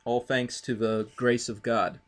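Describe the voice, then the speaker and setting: A man explaining theology thoughtfully in conversation, deliberate and halting, with formal wording. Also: mid-range voice, little pitch movement. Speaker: interviewer.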